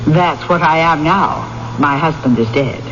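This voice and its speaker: An actress playing a coy, bold middle-aged widow who flirts and teases, in a 1970s radio drama. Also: female voice, deep voice, a little slow. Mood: coy, flirtatious. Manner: coy, teasing, lingering. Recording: muffled, noisy vintage radio recording.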